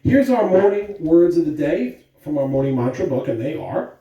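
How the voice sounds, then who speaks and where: An American man speaks as a school principal addressing pupils, upbeat and deliberate with emphatic phrasing. His pitch moves around in a lively way. He sounds set back from the microphone in an echoey room with some background noise. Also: highs cut off. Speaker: school principal reading announcements. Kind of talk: morning announcements and children's read-aloud. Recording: roomy, distant mic with background noise.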